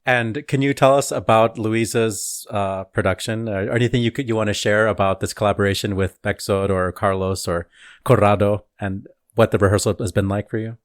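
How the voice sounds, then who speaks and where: A man's voice: lowish voice, fairly even intonation, normal speed, no room sound, studio quality. A man hosting an interview, asking long, rambling, enthusiastic questions in a casual chat. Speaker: podcast host.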